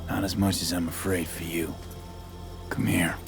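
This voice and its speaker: An actor playing a calm, devoted male mercenary in a video game, gravelly, terse and reassuring. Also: low voice, moderate pace. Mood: tender, reassuring. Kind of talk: intimate video game acting. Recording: music behind the voice.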